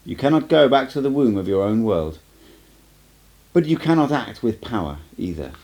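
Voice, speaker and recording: A man voicing a stern, cryptic old sorcerer teacher in an audiobook, lecturing in a measured, emphatic way. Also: medium pitch, very animated, darkish tone. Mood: grave, cryptic. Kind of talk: amateur audiobook character reading.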